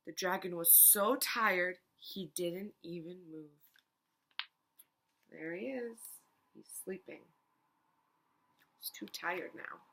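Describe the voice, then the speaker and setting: A woman's voice: lowish voice, slow, vocal fry, long pauses, pushed and bright, poor audio. A woman storyteller reading a children's picture book aloud expressively, with little asides to the listeners.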